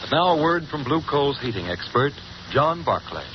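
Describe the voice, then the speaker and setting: A man announcing a 1930s radio show with a smooth, emphatic delivery. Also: medium pitch, sing-song, moderate pace. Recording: noisy dull 1930s radio recording.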